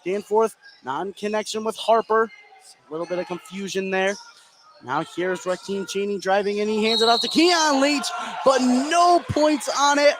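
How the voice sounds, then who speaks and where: A man's voice: sits high, moderate pace. A man doing live play-by-play radio commentary of a basketball game, his voice lifting as the action heats up. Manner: voice rises excitedly as shots go up. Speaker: play-by-play sports announcer. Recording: clearly noisy gym ambience. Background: sports gym noise.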